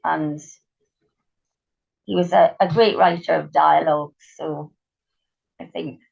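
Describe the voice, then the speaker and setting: A middle-aged woman speaks with a croaky, gravelly voice that sits low. She is a guest in a relaxed interview, thoughtful and trailing off with ums as she remembers. The sound is dull and lo-fi, like a remote recording. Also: slow. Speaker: interview guest, writer and actress.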